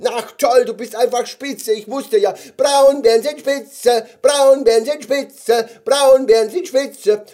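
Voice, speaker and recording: A tiny gummy bear from a children's story, pitched well above an adult male voice. The little creature is eager, emotional and excitable, tripping over repeated words in a loud, rough-edged squeak. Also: measured pace. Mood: overjoyed.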